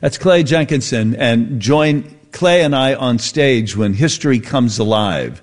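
A man's voice: mid-range voice, expressive, unhurried. A man hosting a radio talk show, easygoing and laughing often as he chats and plugs events.

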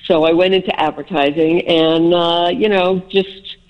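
A woman's voice: lowish voice, steady tone, a little slow, muffled recording. An older woman from the Bronx with a Bronx accent, chatting as a radio interview guest and telling stories with self-deprecating jokes. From the Bronx, New York.

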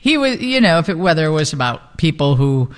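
A woman's voice: sits low, big pitch swings, in a large hall. A woman hosting a radio show, talking in a chatty, frank and casual way. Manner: chatty, frank, quick to laugh.

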